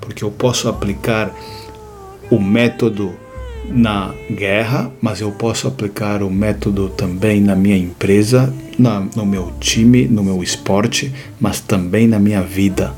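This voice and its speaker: Guy giving a slow deliberate talk, kinda low voice, repeats key words for emphasis. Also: fairly even intonation, darkish tone, soft background music. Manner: deliberate, unhurried, with emphatic repetitions. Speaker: host of an educational series on strategy. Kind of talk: measured explanatory lecture.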